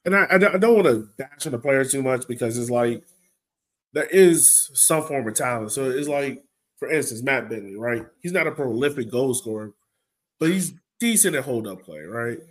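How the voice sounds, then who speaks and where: A man's voice: medium pitch, lively intonation, normal speed, pauses often. A man co-hosting a sports fan podcast, talking casually and informally in an exasperated, searching way. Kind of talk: casual soccer fan discussion.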